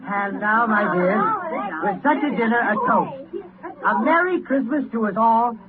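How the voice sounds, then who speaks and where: High-voiced, gentle, meek father. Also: lively intonation, a little slow, a loud crowd. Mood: hearty toast. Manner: soft-hearted, mild. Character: gentle, meek, tender-hearted poor clerk and father. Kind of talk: 1930s radio drama acting. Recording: noisy, narrow-band 1939 radio recording.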